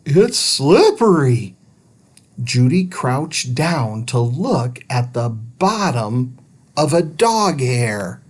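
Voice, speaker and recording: A woman reading a children's storybook aloud as an expressive narrator. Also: extremely low pitch, extremely slow, husky. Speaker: storybook reader.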